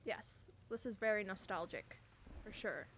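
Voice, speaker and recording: A woman's voice: medium pitch, a little slow, fairly bright tone, slight background noise. A woman on a panel reacting and chiming in with short, casual remarks. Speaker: co-host or panelist.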